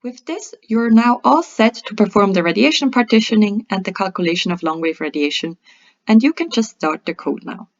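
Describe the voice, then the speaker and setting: A woman presenting a scripted software tutorial in a methodical, step-by-step instructional way. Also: medium pitch, lively intonation, moderate pace. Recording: dull lo-fi voice-over.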